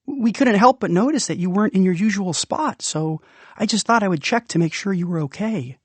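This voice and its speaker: A man's voice: sits high, lively intonation, brisk, husky, dry recording, pitched above the performer's own voice. A man voicing a friendly, curious young boy with a casual, earnest, chirpy manner, in a children's storybook. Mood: reassuring.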